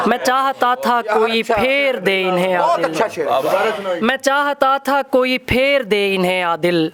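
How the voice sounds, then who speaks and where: Over a very loud crowd, a young man recites verse in a high voice. He takes his couplets slowly and declaims them, returning to lines for emphasis, as a poet does at a live recital. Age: young adult. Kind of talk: live Urdu poetry recital, ghazal couplets. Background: loud audience at a poetry gathering.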